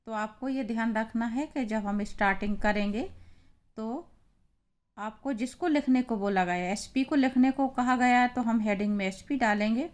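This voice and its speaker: A woman with an Indian accent teaching in a deliberate, explanatory way, as in an instructional lesson. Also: a little high, a little mellow. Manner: deliberate, explanatory, reading phrases out then translating them.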